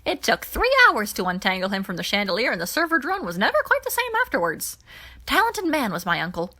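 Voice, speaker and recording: A woman voicing a jaunty, boastful older alien gentleman in an audiobook, telling nostalgic stories with theatrical flourish. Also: medium pitch, big pitch swings, a little fast. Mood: jaunty, proud.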